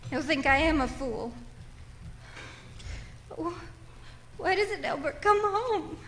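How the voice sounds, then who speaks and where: Radio thriller casting: neurotic, imperious invalid wife, shrill and bright, complaining and overwrought. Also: female voice, expressive, takes it slowly, slight background noise, full character voice. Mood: impatient, fretful. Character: nervous, demanding, self-pitying bedridden invalid wife, increasingly hysterical. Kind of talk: radio thriller play acting.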